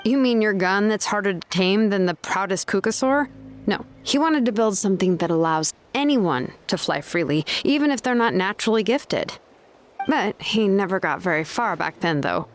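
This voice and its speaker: A woman's voice: mid-range voice, expressive, moderate pace, lightly acted. A woman playing a drowsy, laid-back young craftswoman in a video game, languid and dry. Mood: laid-back, musing.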